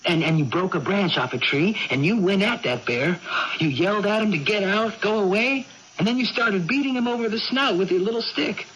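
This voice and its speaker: An actor playing an earnest, patient young man, gentle and hesitant, in a 1980s radio drama. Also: high voice, expressive, brisk, husky, full character voice. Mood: earnest coaxing. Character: earnest, patient young brother of a troubled woman. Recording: dull, noisy old radio broadcast.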